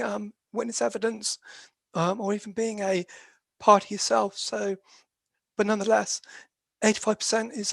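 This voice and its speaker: A dispute resolution specialist giving a professional webinar talk in a croaky voice, hesitant and filling pauses with many "um"s as they explain things steadily. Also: medium pitch, no room sound. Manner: hesitant, with very frequent "um" fillers; explanatory, steady lecturing delivery.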